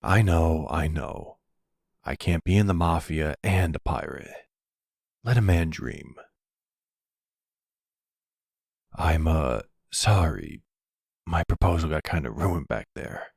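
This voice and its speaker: An actor playing a tender, devoted older mafia boss boyfriend in an intimate ASMR roleplay, speaking softly and gently with a husky voice. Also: male voice, extremely low pitch, no echo, studio quality, full character voice. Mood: tender, sincere. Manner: gentle, slow, affectionate.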